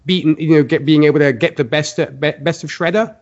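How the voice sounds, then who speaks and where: Male podcast host, fairly high voice, rambling and conversational, on slightly dull lo-fi audio. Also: quick pace. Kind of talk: casual film discussion.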